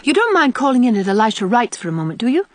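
Woman reading as a lively young country woman. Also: a little high, very fast, no echo, voice pushed up. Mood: chatty. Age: young adult. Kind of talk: audiobook character voice.

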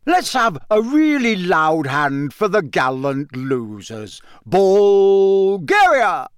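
A man's voice: sits high, big pitch swings, slow pace, smooth, no echo, clean studio sound, pitched above the performer's own voice, exaggerated voice. A man performs a jovial storybook wizard announcing to a stadium. The voice is raised and roaring, with key words stretched out for grand effect. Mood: rousing. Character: jovial, booming male sports announcer wizard.

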